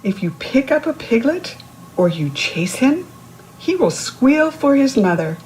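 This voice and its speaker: A woman's voice: sits high, very animated, a little slow, a little mellow, a little hiss or hum, rough recording. A woman reading a picture book aloud to young children, instructive, posing questions to the listener and explaining words. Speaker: children's read-aloud host.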